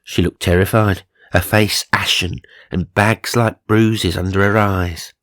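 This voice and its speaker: A man's voice: low voice, fairly even intonation, unhurried, fairly bright tone, dry recording, clean studio sound. A man narrating supernatural magazine stories for comedy, mock-serious and dramatic, with wry asides. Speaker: narrator and host.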